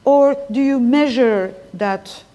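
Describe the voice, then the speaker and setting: A woman from Lebanon interviewing a guest live, speaking in an earnest, reflective way and pausing to search for words. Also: sits high, mellow.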